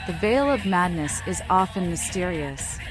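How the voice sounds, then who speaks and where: A woman's voice: on the low side, lively intonation, moderate pace, over music, lightly acted, poor audio. A woman voicing a mad, riddling female vampire seer as a game protagonist, oddly formal. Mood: cryptic, grave. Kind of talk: fan-voiced video game protagonist.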